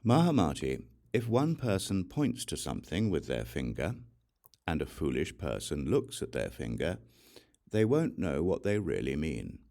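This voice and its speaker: A man reading scripture as audiobook narration, solemn, measured and deliberate in his delivery. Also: rumbling low, wildly swooping pitch, gravelly, dark tone. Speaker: audiobook reader.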